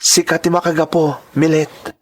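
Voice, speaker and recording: Earnest, grateful young male student, played by a man, Ilocano accent, slightly high register, heartfelt drama delivery. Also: flat delivery, moderate pace, lightly acted. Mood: solemn, heartfelt. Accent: Filipino, Ilocano. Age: young adult. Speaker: drama actor. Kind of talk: sentimental radio-style drama acting.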